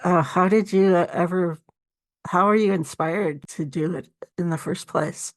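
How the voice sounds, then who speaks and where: A woman's voice: fairly low pitch, measured pace. A woman hosting a podcast, casually chatting as she interviews a guest. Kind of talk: casual chat.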